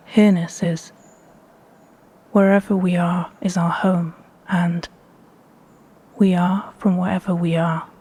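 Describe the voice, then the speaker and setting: A woman with a hushed, breathy voice guiding a meditation in measured, deliberate phrases with pauses between them. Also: lowish voice. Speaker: meditation guide. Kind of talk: slow guided meditation narration.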